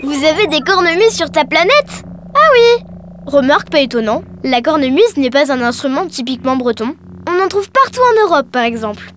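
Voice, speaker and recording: Cartoon schoolgirl: high, cheerful, encouraging explainer, scripted lines over soft music. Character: cheerful young Breton girl teaching her alien friend. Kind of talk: scripted educational cartoon dialogue. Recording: music behind the voice.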